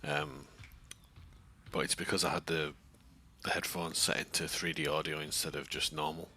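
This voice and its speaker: A British man with a deep voice, chatting casually and keeping it subdued as he streams a game playthrough. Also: little pitch movement, moderate pace, gravelly, sharp tone, slight background noise. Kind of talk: casual live playthrough chat. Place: home, next to a bedroom.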